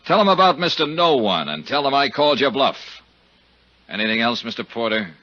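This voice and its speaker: An actor playing a tough, shrewd insurance investigator with a sardonic, probing manner, in 1950s radio drama style. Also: male voice, mid-range voice, very animated, fairly quick, bright, forceful tone, slight background noise. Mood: defiant, sarcastic. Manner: sardonic, probing, hard-boiled. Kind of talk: 1950s radio drama acting and first-person narration. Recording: dull 1950s radio recording.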